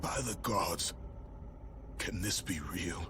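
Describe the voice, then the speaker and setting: Grim, growling Spartan warrior. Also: male voice, deep voice, slow. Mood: stunned. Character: grim, tormented Spartan warrior bent on revenge. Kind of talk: dramatic video game acting. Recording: music under the voice.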